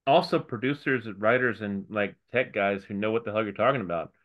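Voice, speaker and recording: A man's voice: lowish voice, brisk, highs cut off. A man co-hosting a podcast, jumping in with wisecracks and mock quotes in casual chat. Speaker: podcast co-host.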